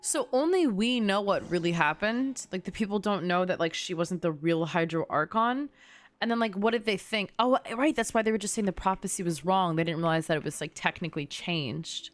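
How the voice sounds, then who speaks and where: A young woman with a slightly low voice, streaming a game. She reacts casually and chattily in slangy phrases, with faint game music behind her. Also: expressive, a little fast. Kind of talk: casual live reaction commentary.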